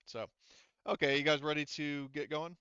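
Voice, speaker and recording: A man speaking casually as game master at an online tabletop game, thinking aloud with halting delivery. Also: a little high, little pitch movement, pushed and bright, clean tone, no room sound, lo-fi sound.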